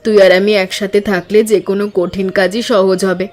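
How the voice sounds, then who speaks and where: A woman voicing a practical, caring village mother in a cartoon story, speaking in an earnest, measured way. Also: medium pitch, level tone, a little mellow, faint music behind, close to an everyday voice.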